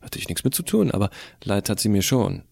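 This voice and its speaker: A man's voice: lowish voice, sing-song, brisk, darkish tone, dry recording, exaggerated voice. A man narrating as a sardonic, self-assured teenage hacker in a first-person audio drama, casual and wry. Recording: clearly audible hiss.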